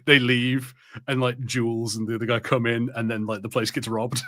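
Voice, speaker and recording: A man co-hosting a podcast in casual watch party chat, halting and self-deprecating as he talks. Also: medium pitch, level tone, fairly bright tone. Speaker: podcast co-host.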